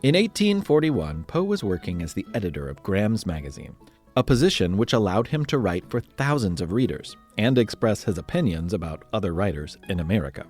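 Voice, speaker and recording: A man's voice: lowish voice, sing-song, a little fast, a little mellow, faint music behind. A man narrating scripted history as a podcast host, in a playful, conversational storytelling way with dramatic emphasis.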